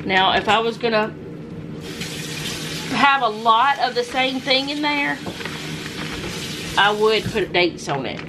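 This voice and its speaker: Home vlogger, woman, slightly high voice, relaxed think-aloud delivery, noisy kitchen recording. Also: slow, pauses often. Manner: chatty, thinking aloud while cooking. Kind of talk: casual cook-with-me narration.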